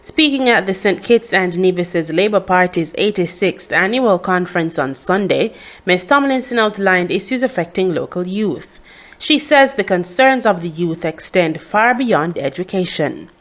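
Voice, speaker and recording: A woman's voice: fairly low pitch, expressive, normal speed. A woman reading a scripted news report as narration.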